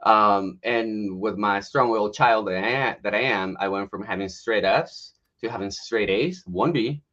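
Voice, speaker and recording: A man in his late thirties from Mexico, telling his life story on a livestream with candid, self-mocking humor. His voice sits a little low. The stream audio is muffled and lo-fi. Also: measured pace, bright, forceful tone. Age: 30s. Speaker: guest health coach.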